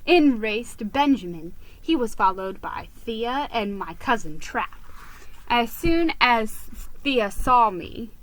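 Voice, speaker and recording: A woman narrating a children's story as an expressive, theatrical dramatic reading. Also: fairly high pitch, slow pace, low-quality recording.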